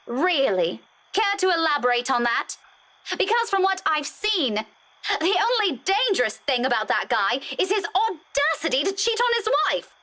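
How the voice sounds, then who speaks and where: A woman voicing an indignant, self-righteous young woman in a visual novel, with a sharp, sarcastic, confrontational manner. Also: high-pitched, sing-song, normal speed, full character voice. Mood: accusing.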